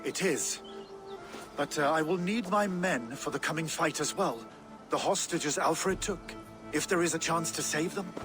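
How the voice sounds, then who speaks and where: An actor playing a proud, vengeful Viking chieftain in a video game, gruff and commanding, with game music behind. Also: male voice, fairly high pitch, measured pace, lightly acted. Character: vengeful Danish warlord, proud and fierce.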